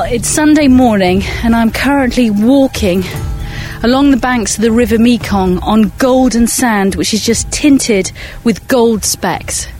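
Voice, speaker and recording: A woman's voice: a little high, darkish tone. A woman reporter recording a descriptive, reflective audio diary outdoors by a river.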